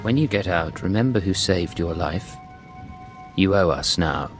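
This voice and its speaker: An actor playing a cool, commanding imperial secret agent in a video game, terse and controlled. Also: male voice, sits low, animated, a little slow, music in the background. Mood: stern, commanding.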